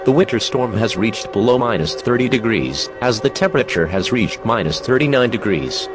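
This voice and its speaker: A man's voice: mid-range voice, animated, moderate pace, music in the background, close to an everyday voice. Man acting as emergency announcer with swinging intonation. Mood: stern warning. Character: male emergency alert announcer, urgent and official. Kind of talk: scripted emergency broadcast.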